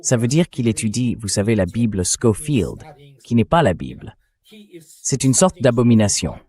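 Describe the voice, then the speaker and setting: A man's voice: medium pitch, big pitch swings, normal speed, dark tone. A man reading a translated voice-over of political and military commentary in a blunt, scornful, conversational way. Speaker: translated voice-over of an interview guest.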